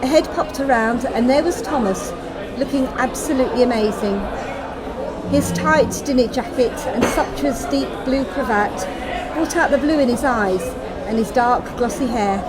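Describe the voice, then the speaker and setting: A woman's voice: fairly high pitch, normal speed. A woman narrating her own short story in the first person, with acted dialogue. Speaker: author reading her story. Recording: loud crowd noise in public room.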